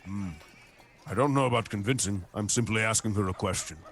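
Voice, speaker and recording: A man playing a tabletop game in character, talking slowly and tersely with frequent hums. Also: fairly low pitch, fairly bright tone. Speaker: player.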